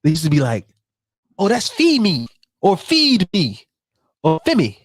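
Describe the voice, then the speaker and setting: Animated man, lively storyteller. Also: high-pitched, a little slow, husky, no room sound, studio quality. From Nigeria. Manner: animated storyteller, laughs often, acts out voices. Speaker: podcast host. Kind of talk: casual chat.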